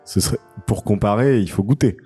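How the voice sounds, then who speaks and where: A man's voice: on the low side, a little fast, warm tone, breathy edge, faint music behind. A man co-hosting a podcast, bantering casually and asking the guest questions. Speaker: podcast co-host.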